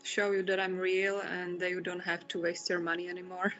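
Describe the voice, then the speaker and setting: Gentle young woman. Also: lowish voice, flat delivery, moderate pace, highs cut off. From Czech Republic. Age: 20s. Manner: kind, gentle, slightly broken English. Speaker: guest on a call.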